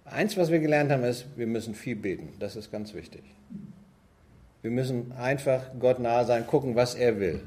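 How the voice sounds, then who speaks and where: A man's voice: medium pitch, dark tone. A man speaking in a church setting, earnest and conversational, often asking rhetorical questions and adding "nicht?". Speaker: church team leader. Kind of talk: church talk.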